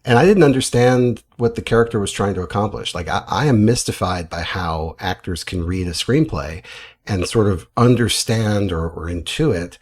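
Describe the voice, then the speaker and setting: An American man with a low, husky voice hosts a podcast. He talks in a casual, conversational way, putting questions and thoughts to a guest. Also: expressive, moderate pace. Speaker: podcast host.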